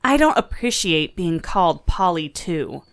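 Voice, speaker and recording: A woman's voice: fairly low pitch, sing-song, unhurried. A woman playing a prickly, sardonic talking head in an audio drama, assertive about being her own individual. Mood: annoyed. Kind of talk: scripted audio drama acting.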